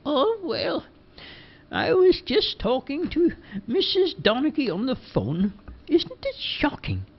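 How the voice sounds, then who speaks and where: Man putting on a nosy old woman, high and slow, loves a bit of gossip, dull lo-fi sound. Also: huge pitch swings, exaggerated voice. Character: gossipy older village woman, fussy, nosy. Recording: dull lo-fi recording.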